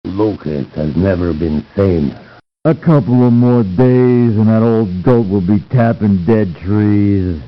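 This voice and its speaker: An actor playing a brash, excitable mercenary henchman in a video game. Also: slow pace, pauses often. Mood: agitated. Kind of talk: video game character voice acting. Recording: muffled low-fidelity game audio, faint noise.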